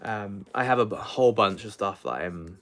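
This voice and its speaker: A man chatting casually and unscripted on a livestream as a book vlogger, rambling and haltingly correcting himself as he goes. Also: lowish voice, fairly bright tone, slight background noise.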